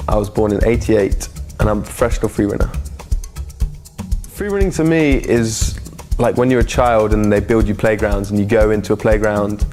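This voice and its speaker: A man's voice: lowish voice, moderate pace, a little mellow, soft background music. A man in his twenties from England with an English accent, talking casually in an interview as an athlete. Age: 20s. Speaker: professional free runner.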